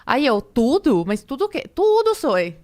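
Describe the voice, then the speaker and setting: A woman's voice: sits high, very animated, moderate pace, a little mellow. A woman in her twenties from Cuba, a native Spanish speaker with a Cuban accent, telling stories casually and acting out bits of dialogue. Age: 20s. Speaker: podcast guest. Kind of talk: casual conversational storytelling.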